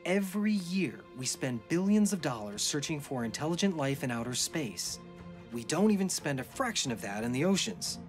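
A man's voice: a little high, sing-song, normal speed. An earnest father and marine biologist played by a man argues his ideas with passion. His intonation swings widely, and music plays under this audio drama dialogue. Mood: impassioned. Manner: impassioned, persuasive. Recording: music behind the voice.

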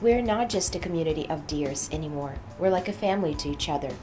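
A woman's voice: sits low, expressive, fast, warm tone. A woman giving explanations for a feature report. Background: faint music.

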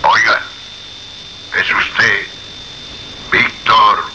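Slow, menacing anonymous caller, piercingly forceful. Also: male voice, lowish voice, steady tone, lo-fi sound, a little hiss or hum, exaggerated voice. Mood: furious warning. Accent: Castilian Spanish. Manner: slow, imperious, emphatic, repetitive. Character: menacing anonymous male phone caller, indignant guardian of secrets. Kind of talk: Spanish radio suspense drama acting.

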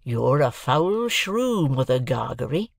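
Sullen journeyman blacksmith for an audiobook, played by a narrator: growly, drawn-out, spiteful, rough country working man. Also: medium pitch, very animated, no echo, studio quality, exaggerated voice. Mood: menacing, spiteful. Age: young adult. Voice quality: gruff, growling. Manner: drawn-out, rustic working-class speech.

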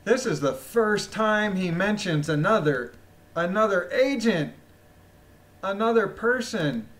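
A man with a phlegmy voice reading a document aloud with casual, incredulous, mocking commentary, often clearing his throat. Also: high-pitched, lively intonation, slow, fairly bright tone. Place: voice-over of a screen recording.

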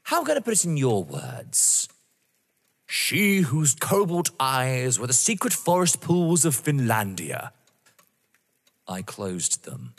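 Male actor as unhinged vengeful man, audio drama, husky, wildly swinging pitch, halting pauses, hall reverb. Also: wildly swooping pitch, exaggerated voice. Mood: taunting, unhinged. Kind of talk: full-cast audio drama acting of a stage play.

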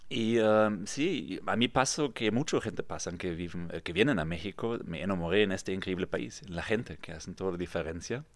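A man in his thirties from Germany, speaking with a German accent and non-native, halting phrasing as a podcast guest. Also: deep voice, animated, no echo. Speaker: podcast guest, real estate developer. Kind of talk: conversational storytelling interview. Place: small padded podcast studio, indoors. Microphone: studio microphone with pop filter, headphones.

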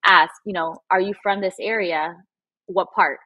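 Animated Spanish-speaking woman on a call. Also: fairly low pitch, moderate pace, no echo, highs cut off. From Puerto Rico. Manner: animated, acts out quoted voices. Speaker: guest photographer and coach. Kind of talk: casual livestream interview. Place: room at home. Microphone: wireless earbuds, video call.

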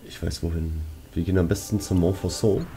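A man's voice: low-pitched, a little fast, warm tone, slight background noise. A man giving casual gameplay commentary in speech, musing aloud as he works through puzzles. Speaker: let's player. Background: game music.

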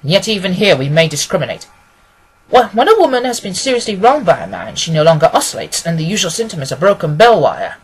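A reader acts out a male detective from a classic storybook. He is brisk, authoritative and analytical, laying out his reasoning with precision. The voice has a slightly husky grain and lifts with emphasis. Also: medium pitch, sing-song, poor audio. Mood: assured, analytical. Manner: assured, precise, expository. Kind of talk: acted audiobook dialogue.

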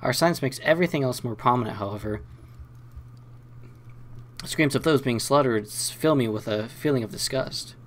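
A man's voice: mid-range voice, moderate pace, long pauses, a little hiss or hum. A man hosting a let's play, mixing casual game commentary with reading story text aloud.

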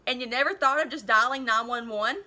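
A woman's voice: on the high side, brisk, bright, forceful tone. A woman voicing a sceptical male police officer in a storybook, questioning and disbelieving. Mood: incredulous.

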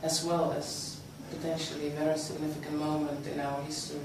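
Brief: woman interviewer, hesitant and searching, recorded from afar in a roomy meeting room with steady noise. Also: low-pitched, a little fast. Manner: hesitant, with frequent ums. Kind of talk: conversational interview questions. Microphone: far camera mic. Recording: echoey room, distant mic, background noise.

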